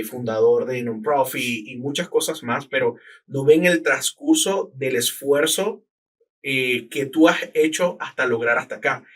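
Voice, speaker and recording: A man's voice: medium pitch, fairly bright tone. A man in a mid-range voice hosts an interview, asking questions and reacting with short, chatty interjections. He sounds a little distant from the microphone. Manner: conversational, quick interjections. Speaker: podcast host. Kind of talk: casual interview talk. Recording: voice somewhat far from the mic.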